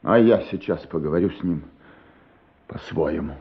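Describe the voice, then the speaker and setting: A man's voice: low voice, normal speed, echoey room, dull audio. An actor playing a stern, wrathful father who speaks formally, with scorn and menace, in a radio drama. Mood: cold contempt.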